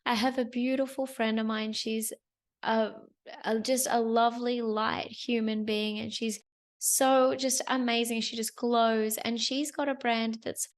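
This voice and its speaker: A woman's voice: a little high, flat delivery, a little slow. A young woman who has lost her voice, hosting a chatty solo business talk to the camera with repeated phrases. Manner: chatty, repeats phrases, lots of "you guys". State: hoarse, lost voice. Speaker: host.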